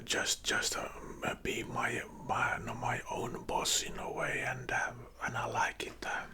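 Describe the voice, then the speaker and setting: A man from Finland with a Finnish accent whispering a casual personal story in ASMR style, in a halting, hushed manner. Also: medium pitch. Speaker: ASMR video creator and support worker.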